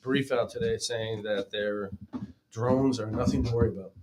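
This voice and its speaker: A man's voice: lowish voice, fairly even intonation, normal speed, very noisy. A man chatting informally as a panelist on a talk show. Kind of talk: casual chat.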